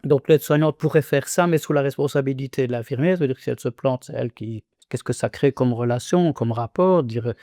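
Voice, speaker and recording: An older man in his sixties talks in a halting, earnest way, with frequent restarts and hesitations. His voice is mellow and warm at a middle pitch, recorded close and dry with no room sound. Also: no echo. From Congo. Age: 60s. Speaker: interviewee. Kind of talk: reflective conversational testimony.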